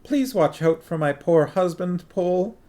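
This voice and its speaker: A man's voice: high voice, measured pace, warm tone, voice pushed up. A man voicing a plump little queen who frets and pleads in a worried, sniffly way. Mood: fretful.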